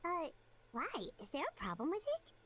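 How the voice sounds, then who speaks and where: A small, cute fairy sidekick for a video game. The voice is squeaky, childlike and extremely high, bouncing up and down as it exclaims and chatters. There is clear noise in the recording. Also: female voice, very animated, moderate pace. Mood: curious. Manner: speaks of self in third person, exclaiming. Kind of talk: English video game dub acting.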